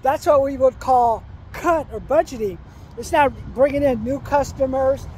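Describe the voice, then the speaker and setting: A man in his fifties with a high-pitched voice talks into a phone held at arm's length while he walks outside. He hammers home his advice with urgent repetition, and faint traffic hums behind him. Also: normal speed, a little mellow. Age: 50s. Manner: preachy, repeats himself, urgent advice. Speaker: vlogger. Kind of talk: walking selfie vlog. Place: outdoors by a commercial complex, trees and sky. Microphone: phone held at arm's length while walking.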